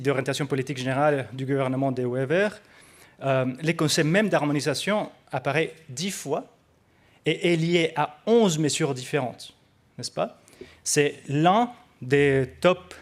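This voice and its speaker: A man, not a native speaker of the language he speaks, giving a conference presentation as a researcher, hesitant and full of fillers. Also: mid-range voice. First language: not French. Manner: hesitant with many fillers, careful phrasing. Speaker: researcher presenting at a study day. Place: conference room.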